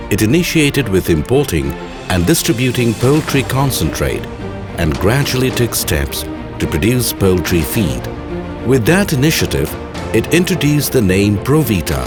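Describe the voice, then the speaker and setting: A male narrator reads a scripted corporate documentary. His voice sits fairly low and moves with animated, lively intonation, with music clearly playing behind him.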